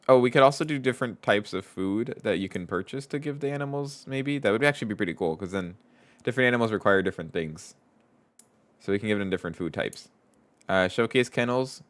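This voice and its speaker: A man with a stuffy nose, streaming live as a game developer, chatting casually, reading chat aloud and thinking out loud as he codes. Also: fairly low pitch. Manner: thinks aloud, reads chat aloud, trails off and restarts.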